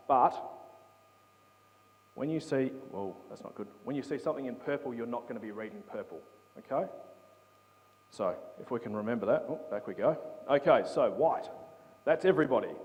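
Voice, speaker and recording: A man gives an interactive Bible talk to a congregation in a mid-pitched voice, chatty and informal. He keeps checking in with his listeners. The hall leaves his voice with a long, ringing reverb. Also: expressive, moderate pace, a little mellow, a little hiss or hum. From England. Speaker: preacher. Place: church hall.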